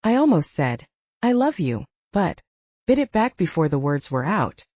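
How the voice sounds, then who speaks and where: Female storyteller, lower-pitched, soft-edged tone, scripted fiction narration, dry slightly muffled recording. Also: sing-song, moderate pace, dry recording. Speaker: audiobook narrator.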